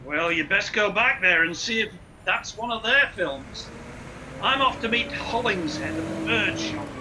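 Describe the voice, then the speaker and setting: An actor playing a seasoned, inquisitive senior police investigator in a radio play. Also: male voice, sits high, expressive, unhurried, noisy background. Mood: grave, curious.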